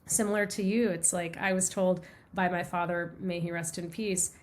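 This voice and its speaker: A woman's voice: fairly low pitch, steady tone, moderate pace. A middle-aged woman from the United States, a guest author on an interview podcast, telling personal stories in an earnest, reflective way. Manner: earnest, reflective storytelling with repeated phrases. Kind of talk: conversational interview.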